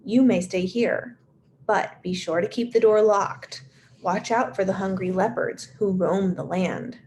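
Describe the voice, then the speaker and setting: A woman's voice: unhurried, darkish tone, slight background noise, close to an everyday voice. A woman doing a sweet-voiced loving mother. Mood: caring warning. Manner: high and sweet.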